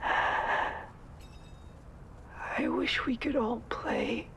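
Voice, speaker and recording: A woman's voice: a little high, fairly even intonation, very slow, rough recording. A woman voicing a sick, lonely child who whispers and sighs. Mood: sad. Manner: weak whispering, sighing.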